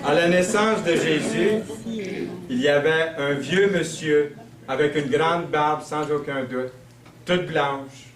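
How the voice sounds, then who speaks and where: A man from Quebec with a Québécois French accent, preaching a sermon in a conversational, storytelling way. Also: mid-range voice. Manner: conversational, storytelling, with fillers and slow emphasis. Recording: roomy sound, mic at a distance.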